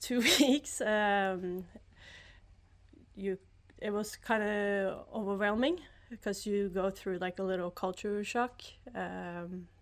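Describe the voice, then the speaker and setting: A young woman from Norway speaks English at an unhurried, slow pace. She pauses often on ums and laughs through her sentences in a relaxed, casual conversation. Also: medium pitch. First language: Norwegian. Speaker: guest, former student athlete.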